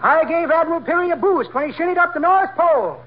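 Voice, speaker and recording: A man's voice: animated, quick pace. A man playing a talkative old-timer who spins boastful tall tales in folksy, ungrammatical speech on a 1940s radio comedy. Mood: folksy boasting. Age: older. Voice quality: high, aged-sounding character voice. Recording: dull, noisy 1940s radio recording.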